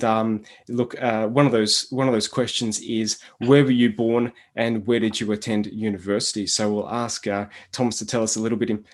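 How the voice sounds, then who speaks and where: A man with an Australian accent hosts an interview over a video call, talking in a friendly, halting way with lots of fillers. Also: medium pitch. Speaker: host. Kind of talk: interview hosting.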